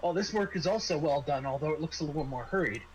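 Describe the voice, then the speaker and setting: A man's voice: fairly high pitch, animated, fast, a little mellow, slight background noise, natural voice, low-quality recording. A man playing a courteous, formal male medical attendant at a secret research facility in a tabletop game. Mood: politely guarded.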